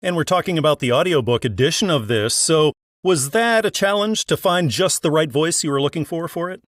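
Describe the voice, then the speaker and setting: Man, interview host, lighter-pitched voice, scripted intros and questions, dry close sound. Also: lively intonation, a little fast, no room sound.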